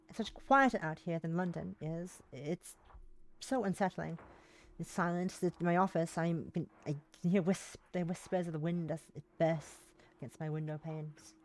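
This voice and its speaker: A woman live streaming, chatting casually and reading visual novel text aloud, now and then tripping over words and rereading them. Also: lowish voice. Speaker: live streamer playing a game.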